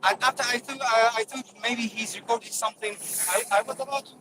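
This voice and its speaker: Young man, Kurdish accent, very high pitch, halting repeated phrases, casual chat, loud passing traffic. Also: fairly even intonation. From Kurdistan region of northern Iraq. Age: young adult. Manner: halting, repetitive phrase restarts. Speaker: passer-by student being interviewed. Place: outdoors on a motorway bridge. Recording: loud outdoor traffic noise. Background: passing vehicles.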